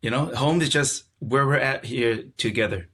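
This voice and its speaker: A middle-aged man from California, a podcast guest talking in a relaxed, reflective way and often repeating words as he searches for them. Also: mid-range voice, moderate pace, slightly rough. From California, USA. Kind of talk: casual conversational interview.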